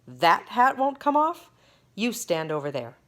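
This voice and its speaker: A woman's voice: medium pitch, big pitch swings, moderate pace, voice pushed up, lightly acted. A woman voicing a proud, spoiled little boy duke who sneers and taunts. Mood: sneering. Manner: sneering, bratty.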